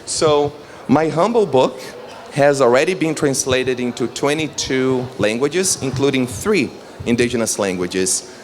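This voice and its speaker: A man's voice: medium pitch, animated, a little slow, a little hiss or hum. Earnest man addressing a panel. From Brazil. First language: Brazilian Portuguese. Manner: earnest, enthusiastic, addresses the audience directly. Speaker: panel moderator. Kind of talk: public panel talk. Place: panel on stage before an audience.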